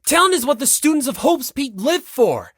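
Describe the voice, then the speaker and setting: A reader voicing an agitated, insecure teenage boy in an audiobook, with forceful, accusing delivery. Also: sits high, sing-song, moderate pace, no room sound, studio quality, voice pushed up. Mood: agitated, bitter. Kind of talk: dramatic audiobook character reading.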